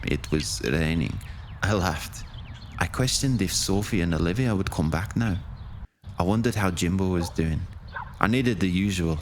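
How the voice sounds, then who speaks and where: A haunted, down-on-his-luck sailor played by a man tells his story in an audio drama. The voice is low, brooding and reflective, with rain and thunder clearly behind it. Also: a little hiss or hum, natural voice. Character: haunted, down-on-his-luck former ship crewman narrating. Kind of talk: first-person audio drama narration.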